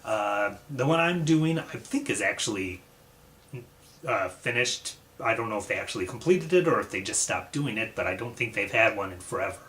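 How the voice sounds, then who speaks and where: A man's voice: on the low side, animated, moderate pace, a bit bright. A man hosting a reaction video, giving casual, conversational commentary.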